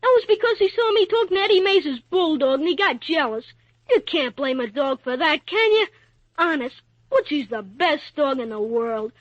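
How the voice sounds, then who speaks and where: Very high-voiced earnest little boy played by a woman. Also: animated, moderate pace, no echo. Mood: earnest, defensive. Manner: earnest, childlike, slangy, polite. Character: earnest, plucky little boy pleading for his dog. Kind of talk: 1940s radio acting. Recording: dull, dry old radio recording.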